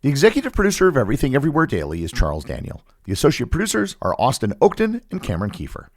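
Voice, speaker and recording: A man hosting a podcast, reading a scripted educational narration in a measured, explanatory way with emphatic phrasing. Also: mid-range voice, wildly swooping pitch, soft background music. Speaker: podcast host.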